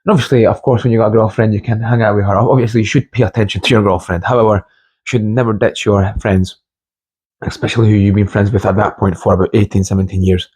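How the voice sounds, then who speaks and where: A young man from Scotland and Hungary giving an informal motivational monologue with personal stories, speaking haltingly and repeating phrases for emphasis. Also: fairly low pitch, steady tone, darkish tone, husky. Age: young adult. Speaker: motivational video host.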